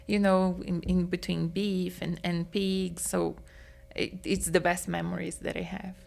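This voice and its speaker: A woman, a meat science professor answering interview questions in an earnest, explanatory, slightly halting way. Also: on the low side, little pitch movement, creaky, darkish tone. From Brazil.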